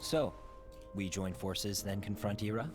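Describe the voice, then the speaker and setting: A blunt, skeptical man, played by an actor in a game cutscene, with a lowish, dry, matter-of-fact voice. Game music sounds clearly behind him. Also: unhurried, close to an everyday voice. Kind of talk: video game cutscene acting. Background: game score music.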